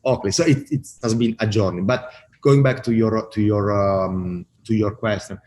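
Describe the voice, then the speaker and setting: A man from Italy with an Italian accent, talking casually as a guest in an interview and pausing often with fillers and restarts. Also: on the low side, fairly even intonation, darkish tone. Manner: halting, many fillers and restarts. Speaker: brand marketing guest.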